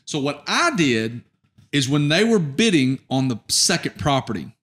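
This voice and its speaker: A man's voice: fairly high pitch, big pitch swings, moderate pace, a bit bright. A middle-aged man hosting a casual interview, recapping and asking questions on a close studio mic. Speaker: podcast host. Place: indoor podcast studio at a round table. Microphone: boom-mounted podcast microphone up close.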